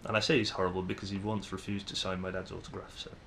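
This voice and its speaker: A young man with a northern English accent from around Manchester rambles through a casual video monologue, stopping and restarting his sentences. His voice sits on the lower side. A faint rumble runs in the background. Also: flat delivery, quick pace, vocal fry, a bit bright. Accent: northern English, Manchester area. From northern England. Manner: rambling, self-deprecating, frequent restarts. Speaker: vlogger.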